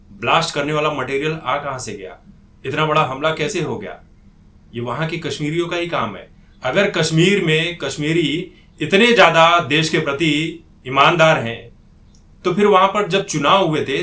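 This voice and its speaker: A man's voice: fairly high pitch, bright, forceful tone. A man giving an opinionated political commentary monologue in an indignant, accusatory manner. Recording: echoey room.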